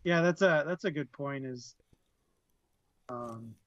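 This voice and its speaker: A man in a casual book discussion, laughing often and pausing with fillers. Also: mid-range voice, no echo. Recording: dull lo-fi mic.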